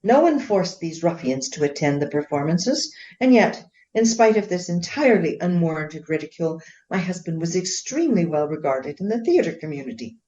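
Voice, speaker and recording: A woman playing a proud, indignant Regency gentlewoman in a radio play, speaking formally and emphatically in defence of her husband. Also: on the low side, very animated, normal speed, hardly any pauses, lo-fi sound.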